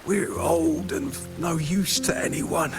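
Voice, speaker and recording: A man playing a frail, weary but caring old man in a video game, halting and breathy, with a weak coughing delivery. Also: fairly high pitch, steady tone, over music. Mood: weary, resigned. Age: older.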